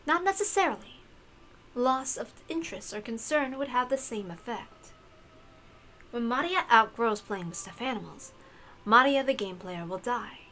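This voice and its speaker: A woman voicing a solemn, knowing witch in a visual novel, measured and didactic. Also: a little high, animated, pushed and bright, slight background noise, rough recording. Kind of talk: acted visual novel dialogue.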